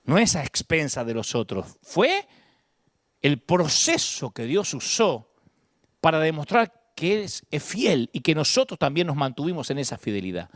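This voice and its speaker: A middle-aged man with a Buenos Aires Argentine accent, preaching a sermon as pastor, expressive and emphatic, swinging between high peaks and low asides. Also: a little slow, no room sound, clean studio sound. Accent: Argentine Spanish, Buenos Aires.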